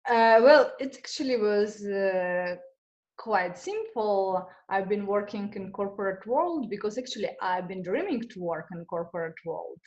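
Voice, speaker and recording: Woman, interview guest, hesitant, many fillers, mid pitch. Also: lively intonation, unhurried, darkish tone, muffled recording. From Russia. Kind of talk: conversational interview.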